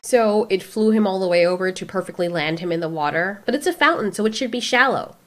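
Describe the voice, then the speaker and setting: A woman's voice: medium pitch, quick pace, a little hiss or hum. A woman giving casual reaction commentary in a sarcastic, exasperated manner. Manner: sarcastic, exasperated, mildly profane. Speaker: reaction video host.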